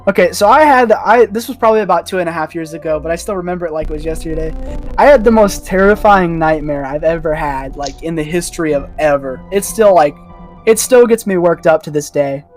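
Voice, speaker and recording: A man's voice: a little high, a little fast, soft background music. A man talking casually and emphatically as a podcast panelist, telling stories in a colloquial way. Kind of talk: casual chat and storytelling.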